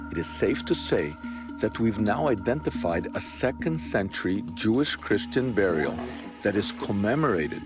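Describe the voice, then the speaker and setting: A man narrates a documentary in a measured, earnest way, his voice sitting a little low. Clear music runs beneath his voice-over.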